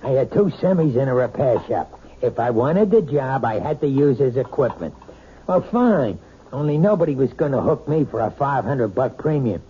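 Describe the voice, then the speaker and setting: An actor playing a domineering, bitter husband who runs a trucking company, in a 1970s radio drama. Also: male voice, medium pitch, animated, moderate pace, natural voice. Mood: bitter, bullying. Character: bullying trucking boss husband, man, middle-aged. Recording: dull old radio broadcast with faint hiss.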